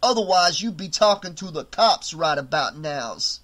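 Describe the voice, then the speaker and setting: A man acts out a bullying hotel supervisor who snarls threats at his staff. The voice sits high and hard-edged, and every line is pure contempt. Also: animated, normal speed, fairly bright tone. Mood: scornful. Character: menacing brutish male hotel supervisor, bullying.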